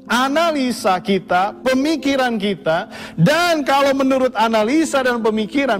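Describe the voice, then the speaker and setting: A pastor preaching a sermon to his congregation, addressing them again and again. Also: extremely high pitch. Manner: preaching to the congregation, often calls them brothers and sisters.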